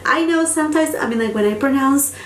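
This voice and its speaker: A woman's voice: high voice, a little fast, roomy sound, far from the mic, slight background noise. Gushing woman. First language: Spanish. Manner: enthusiastic, gushing, repeats words for emphasis. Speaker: beauty vlogger. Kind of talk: casual product review.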